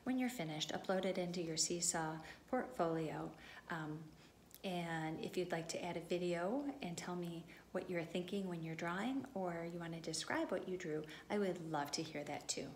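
A woman preschool teacher reading a story aloud to young children, expressive and deliberate, speaking to them directly. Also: lowish voice. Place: empty classroom. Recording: echoey room with background noise.

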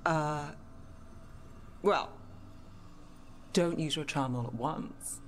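An actress playing a guarded, flirtatious woman in a video game, teasing in a measured way. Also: deep voice, slight background noise. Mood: wry, flirtatious.

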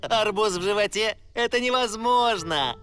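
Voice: medium pitch, moderate pace, fairly bright tone, close to an everyday voice. Melodramatic young cartoon panda boy, acted out. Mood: amused, carefree.

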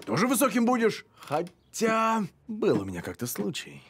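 A man voicing a scatterbrained, boastful, good-natured talking dog in an animated cartoon, rambling and excitable. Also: high voice, moderate pace, over loud music, full character voice. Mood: chatty, eager. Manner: rambling, excitable, tells tall anecdotes.

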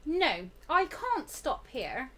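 A woman's voice: high voice, huge pitch swings, drawn-out words, fairly bright tone, voice pushed up, heavily acted. A woman voicing an anxious but determined ordinary student in a visual novel, reading in an earnest, dramatic way. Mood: earnest, resolute.

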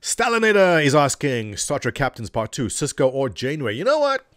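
A man's voice: on the high side, fairly quick, no echo, studio quality. A man in his thirties answering viewer questions in a casual, jokey way at his home desk, speaking into a desk microphone. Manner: animated, jokey, reads questions aloud. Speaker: gaming community leader answering viewer questions. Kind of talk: casual webcam Q&A. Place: home office, seated in gaming chair. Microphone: desk condenser mic in front of him.

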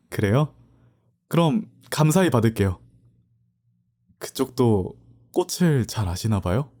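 A man's voice: mid-range voice, big pitch swings, slow pace, pauses often. A man playing a confident young law student who faces down thugs, then speaks politely. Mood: gentle. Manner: cool and assertive, then polite and gentle. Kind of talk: amateur audio drama acting.

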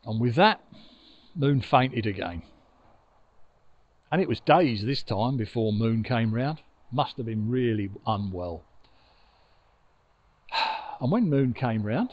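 An older man telling a story conversationally and unhurriedly, with dramatic pauses, outdoors on a lapel mic. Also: mid-range voice, very animated, muffled recording. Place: outdoors by a river in open countryside. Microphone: lapel mic with furry windshield.

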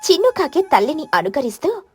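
A woman tells a children's cartoon story in a soft, storybook way. Her voice sits high, with faint music beneath it. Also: big pitch swings, fairly quick. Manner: gentle storybook telling. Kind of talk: scripted cartoon narration.

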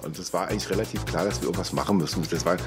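Deep voiced guy talking casually, keeps rephrasing, music under him. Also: racing. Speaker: interviewee, techno scene witness. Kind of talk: casual interview talk. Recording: music bed behind voice.

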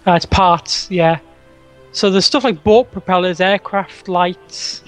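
A man co-hosting a game stream in casual chat, teasing and quick to giggle while he plans out loud, on a headset mic. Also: high voice. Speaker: co-host. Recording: faint game music behind.

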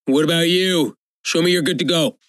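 An actor playing a terse, bossy soldier who guides survivors in an audio drama. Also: male voice, fairly high pitch, normal speed, fairly bright tone, a little hiss or hum, lightly acted. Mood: suspicious, stern. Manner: clipped, bossy orders. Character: terse, commanding male soldier guiding survivors.

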